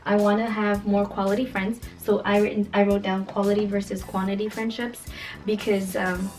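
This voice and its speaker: A woman in her late twenties from Kenya talks to her viewers in a casual vlog. Her voice sits at a middle pitch, and her delivery is playful, animated and emphatic. Music plays behind her. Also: moderate pace. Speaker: vlogger.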